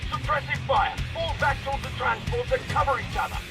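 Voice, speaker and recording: An actor playing a frantic soldier commander barking orders during a firefight. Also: male voice, very high voice, brisk, creaky, music in the background. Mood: urgent. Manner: barked orders. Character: frantic male soldier commander under attack.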